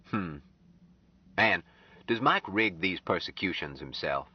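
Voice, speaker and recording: A gruff, sardonic older man with a low, dry delivery and blunt remarks, as an audiobook narrator plays him. The tone still moves a fair amount. The audio sounds slightly dull. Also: lively intonation, takes it slowly, exaggerated voice.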